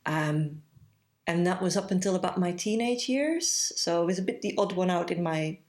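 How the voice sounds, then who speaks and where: A woman in her forties with a Belgian accent talks as a podcast guest in a low voice. She speaks thoughtfully and pauses often to gather her words. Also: expressive, a little mellow. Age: 40s. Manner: reflective, thoughtful, pausing often with "um". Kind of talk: casual interview conversation.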